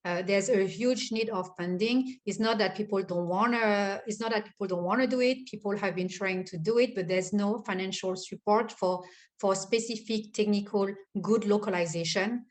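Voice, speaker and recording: A woman's voice: medium pitch, normal speed. A woman moderating a panel discussion, speaking unscripted and stressing points through repetition.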